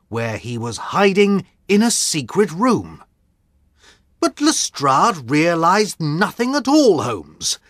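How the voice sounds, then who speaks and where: An agitated, excitable doctor friend, as a narrator puts him on, his voice swinging wildly up and down and pitched high above the reader's own. The delivery is slow and deliberate for all the fuss, in a dry close-miked recording. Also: no echo, heavily acted. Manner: agitated, raised and indignant. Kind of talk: audiobook character reading. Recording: dry close studio sound.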